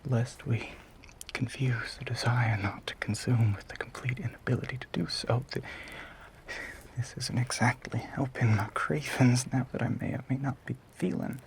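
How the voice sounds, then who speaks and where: A man playing a lonely, hungry Southern gentleman vampire in an intimate audio roleplay, speaking haltingly in a breathy voice with a Southern US accent. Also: mid-range voice, steady tone, lightly acted. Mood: hesitant, conflicted. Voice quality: breathy, whispery. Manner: halting, hesitant, drawn-out phrases.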